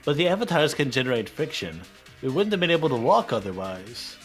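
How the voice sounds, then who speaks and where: A man's voice: medium pitch, sing-song, fairly quick, faint music behind. A man bantering and reading lines with friends in a puzzled, questioning way. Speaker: fan dub voice performer. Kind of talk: casual banter and line reading.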